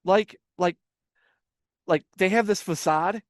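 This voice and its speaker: A man's voice: sits high, slow pace, no room sound, studio quality. A man hosting a casual reaction podcast, rambling with stammered restarts and swearing. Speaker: podcast host.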